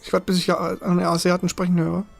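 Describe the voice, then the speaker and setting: A young streamer with a creaky, medium-pitched voice reacts casually to a broadcast. The remarks are muttered and sceptical, in everyday colloquial phrasing. Faint music plays behind. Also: monotone, fast. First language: German. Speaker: livestream reactor. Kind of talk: casual live reaction commentary.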